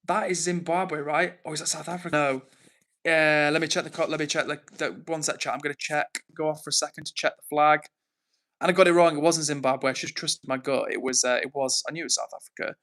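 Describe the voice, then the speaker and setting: An English man hosts a relaxed live chat in a bright, forceful voice pitched a little high. He talks informally to viewers, rambling and thinking out loud as he works through their comments. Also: quick pace. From England. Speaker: livestream host.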